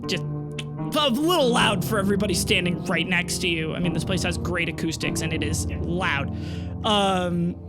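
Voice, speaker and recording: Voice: mid-range voice, normal speed. A game master casually narrating a tabletop audio drama in descriptive, conversational language. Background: background music.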